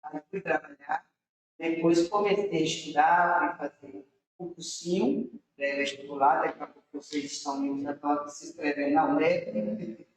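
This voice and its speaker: A woman's voice: low-pitched, expressive, moderate pace, slight background noise. Woman, echoey distant mic. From interior of Bahia, Brazil. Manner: earnest, rambling with restarts. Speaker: city councilor guest. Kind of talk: casual storytelling to a young audience. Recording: very echoey room, far from mic.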